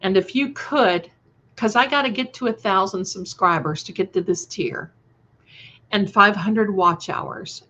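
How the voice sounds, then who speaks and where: A woman livestream host talks at an unhurried pace through slightly dull, lo-fi audio. She chats casually with viewers, gushing endearments and drifting from one remark to the next. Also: medium pitch, pushed and bright. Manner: gushing, affectionate terms of endearment, scattered and conversational. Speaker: livestream host and channel creator. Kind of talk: casual livestream chat, reading and answering viewer comments.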